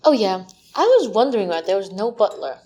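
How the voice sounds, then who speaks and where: A woman's voice: medium pitch, very animated, brisk, warm tone, close to an everyday voice. A woman playing a cheeky, earnest young lad helping investigate in an amateur comedy murder mystery, chatty and colloquial.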